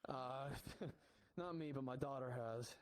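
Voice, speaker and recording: A man's voice: mid-range voice, slow. A man chatting casually in an online roleplay game, polite and reassuring. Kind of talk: casual in-character roleplay chat.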